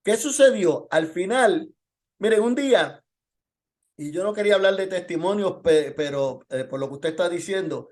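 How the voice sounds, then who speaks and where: Male pastor, high-pitched voice, informal Bible-lesson delivery with testimony and pointed rhetorical questions. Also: expressive, moderate pace, darkish tone.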